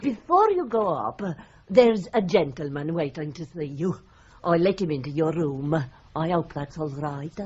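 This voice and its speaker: A homely, chatty landlady, played by a woman, talks in a husky, low voice. The radio drama recording is dull and lo-fi. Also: animated, normal speed, darkish tone.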